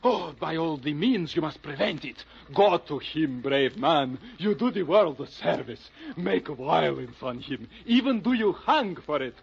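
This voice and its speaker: Casting: melodramatic Bulgarian consular official, very raspy, swooping delivery, broken English, old-radio style. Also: male voice, fairly high pitch, animated, unhurried, hardly any pauses, full character voice. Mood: urgent exhorting. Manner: melodramatic exclamations in mangled English. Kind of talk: 1940s radio acting. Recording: muffled old radio recording.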